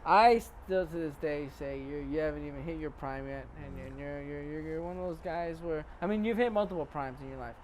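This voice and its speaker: A man's voice: fairly high pitch, a little fast, hardly any pauses, slight background noise. Young male podcast host, crude and joking, outdoor night patio, faint ambience. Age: 20s. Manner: crude, joking, swears a lot. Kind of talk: casual chat.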